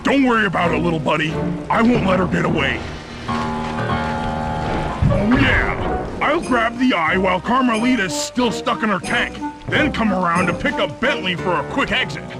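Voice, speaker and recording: A man's voice: high-pitched, lively intonation, moderate pace, pauses often, loud music behind the voice. An actor voicing a gung-ho, protective big male sidekick in a cartoon game.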